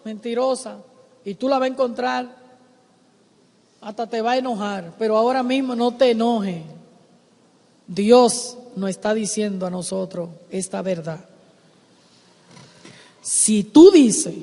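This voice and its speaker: Woman preacher, measured and emphatic, repeats lines and rises to shouts, long church-hall reverb. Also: high voice. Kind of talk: sermon. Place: church sanctuary.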